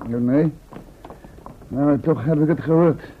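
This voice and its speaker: Dry sardonic man, tinny radio sound. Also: a little high. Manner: formal, ironic, measured. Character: dry, sardonic, level-headed fugitive time traveler. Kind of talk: radio play acting. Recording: tinny, band-limited old radio with hiss.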